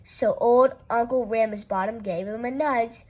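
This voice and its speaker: Slow, expressive woman reading a story. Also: fairly high pitch. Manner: unhurried, expressive read-aloud with playful character voices. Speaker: storytime reader. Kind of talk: reading a rhyming picture book aloud.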